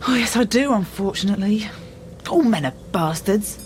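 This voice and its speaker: An actress playing a chatty, bitter woman passenger in a radio play, plain-spoken and deadpan. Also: medium pitch, normal speed, natural voice.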